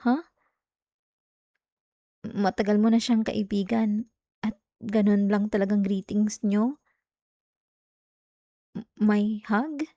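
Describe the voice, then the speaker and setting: Clingy, jealous girlfriend played by a woman, soft and mellow. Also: medium pitch, dry recording, clean studio sound. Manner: coaxing, whiny, with sighs and hesitations. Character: clingy, jealous young girlfriend, pleading and pouting. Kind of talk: intimate ASMR girlfriend roleplay. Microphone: close, dry microphone.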